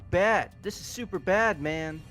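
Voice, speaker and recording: A man's voice: high voice, measured pace, fairly bright tone, soft background music. A man voicing a panicky, superstitious young fortune-teller in a video game, dramatic and alarmist. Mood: ominous worry. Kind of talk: amateur visual novel voice acting.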